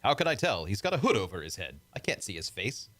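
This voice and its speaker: A man's voice: on the low side, very animated, fast talker, a bit bright, no room sound. A man playing a brave young Earthman hero, earnest and gallant, in radio adventure serial style.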